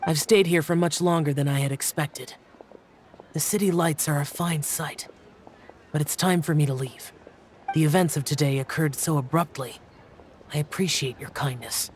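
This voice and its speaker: A man voicing an aloof, reserved young immortal guardian in a video game, terse, restrained and breathy. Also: a little high, moderate pace, a little hiss or hum, natural voice. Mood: aloof, subdued. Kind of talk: English video game voice acting.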